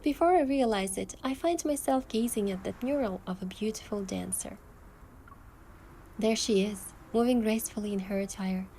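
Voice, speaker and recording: A young woman gently narrating a romantic story in the first person as its heroine, in a soft, hushed voice. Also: mid-range voice, moderate pace, a little hiss or hum, rough recording.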